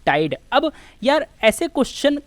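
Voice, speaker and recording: High, animated woman teaching. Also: moderate pace, dry recording. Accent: Indian. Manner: explanatory, poses questions then answers them. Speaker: exam coaching teacher. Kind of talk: informal lecture working through exam questions.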